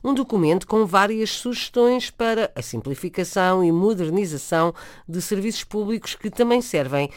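A man with a European Portuguese accent presenting radio news in a measured, formal, scripted read. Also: sits high, expressive, no room sound, studio quality. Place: radio studio.

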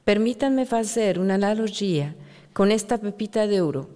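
A woman from Mexico, a native Spanish speaker with a Mexican Spanish accent, reading a prepared talk in a measured, deliberate way. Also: mid-range voice, expressive, warm tone. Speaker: writer and anthropologist. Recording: reverberant hall.

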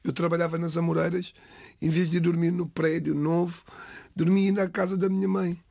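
A middle-aged man from the Lisbon area whose first language is Cape Verdean Creole, giving a halting, reflective personal account in an interview. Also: a little high, flat delivery, creaky, a little mellow, no room sound, studio quality. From Portugal, Lisbon area. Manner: halting, restarts phrases, pauses between words.

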